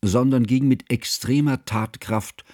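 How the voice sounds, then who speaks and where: An actor playing a grave, scholarly older man who narrates his composer friend's life in a radio play, solemn and measured in ornate literary phrases. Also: mid-range voice, fairly even intonation, darkish tone, no room sound, clean studio sound, natural voice. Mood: solemn, precise.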